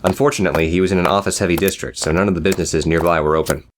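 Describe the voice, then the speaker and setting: A man's voice: sits low, steady tone, racing, background noise. A man narrating a scripted, dramatic story as an audiobook reader.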